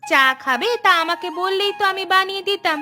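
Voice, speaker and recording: A woman's voice: very high-pitched, a little fast, pushed and bright, soft background music. A woman voicing a caring, hardworking young village wife in a Bengali cartoon. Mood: fond, brisk.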